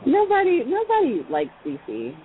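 A man from Maryland, a guest on a casual call-in talk show, animated and sarcastic and laughing often. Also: very high-pitched, moderate pace, a little hiss or hum. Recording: dry, tinny phone-line audio.

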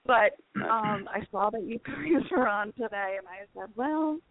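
A woman's voice: fairly high pitch, expressive, unhurried, dry recording. A chatty woman in her fifties calling in to a radio show for a casual, teasing chat over a tinny phone line. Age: 50s. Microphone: phone call.

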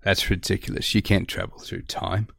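A man's voice: sits low, level tone, moderate pace, vocal fry, fairly bright tone, slightly rough, no room sound, studio quality, exaggerated voice. A man playing a skeptical, proud ally in an amateur audio drama. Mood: skeptical, grudging. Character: skeptical, proud man who knows secret tunnels.

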